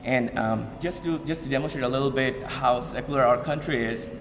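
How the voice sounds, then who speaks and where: A man from Bangladesh talks to an audience in a loose, unscripted way. He stops and restarts often and leans on fillers. His voice sits at a middle pitch and carries a long hall reverb. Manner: halting, many fillers and repeated starts. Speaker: community speaker. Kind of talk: informal public talk to an audience. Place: event hall.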